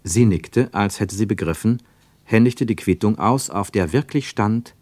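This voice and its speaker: A man narrating a scripted radio drama in a measured, literary and dramatic manner. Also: lowish voice, a little mellow, no echo.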